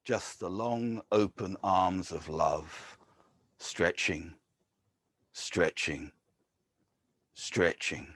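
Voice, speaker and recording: An English man giving a measured poetry reading, with soft, hesitant asides between poems. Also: sits low, slightly rough, dry recording. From England. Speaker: poet.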